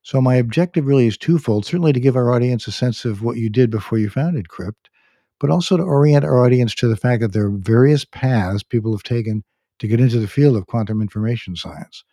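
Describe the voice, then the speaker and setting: A man's voice: mid-range voice, a little fast, warm tone, dry recording, studio quality. A man hosting a tech podcast, formally introducing his guest and asking long, polite questions. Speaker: podcast host. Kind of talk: interviewing a guest.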